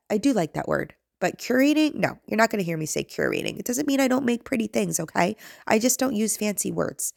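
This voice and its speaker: A woman in her thirties with a raspy, nasal voice, chatting through her home cleaning vlog in a rambling, self-deprecating way. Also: mid-range voice, a little mellow, no echo, clean studio sound. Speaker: home vlogger and mom. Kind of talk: chatty voice-over narration.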